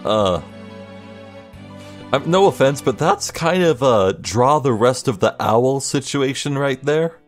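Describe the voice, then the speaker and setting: A man's voice: mid-range voice, expressive, slow pace, soft background music. A man giving casual commentary as he plays through a video game. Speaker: video game let's player.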